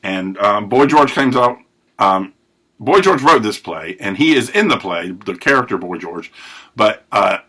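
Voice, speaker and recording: A man hosting a recovery podcast, telling his life story in a rambling, self-correcting way with a big, loud voice. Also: lowish voice, animated, measured pace, slightly rough. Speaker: podcast host. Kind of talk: personal recovery monologue.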